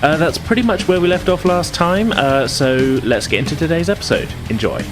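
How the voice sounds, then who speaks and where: A man's voice: on the high side, a little fast, over music. A British man hosting and game-mastering a tabletop podcast, talking informally and circling around his phrases. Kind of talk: casual spoken recap and narration for a tabletop game.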